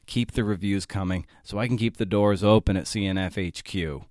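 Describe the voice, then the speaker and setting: A man's voice: low voice, brisk, darkish tone, dry recording, studio quality. A man hosting a podcast in a casual, wry way, moving between scripted introduction and interview questions. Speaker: podcast host.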